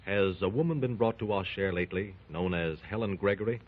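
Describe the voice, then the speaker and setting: An actor playing a calm, commanding jungle hero who asks terse, steady questions in a 1930s radio drama. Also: male voice, deep voice, brisk. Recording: tinny old 1930s radio recording.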